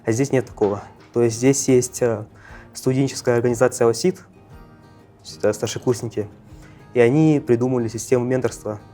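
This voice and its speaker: A young man of eighteen, a student, talks casually in an interview, halting and correcting himself. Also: medium pitch, mellow. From Russia, Yakutia. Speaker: first-year university student. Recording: faint music behind the voice. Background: faint background music.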